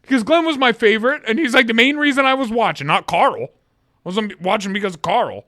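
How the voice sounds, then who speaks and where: A man's voice: lively intonation, a little fast, pushed and bright, no room sound, clean studio sound. A man co-hosting a podcast in casual chat, speaking with lots of inflection and laughing often. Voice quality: naturally deep voice. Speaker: podcast co-host.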